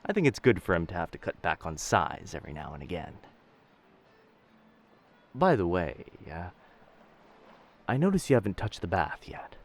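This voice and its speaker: Guy doing a deep pirate captain, bossy but protective, old sailor way of talking, close-up asmr roleplay drama. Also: sing-song, normal speed, gravelly, pauses often, full character voice. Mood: amused, reassuring. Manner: old-fashioned seafaring speech, addresses listener as lass. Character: dangerous but gentle male pirate captain, protective and commanding. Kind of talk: roleplay audio drama, intimate boyfriend ASMR.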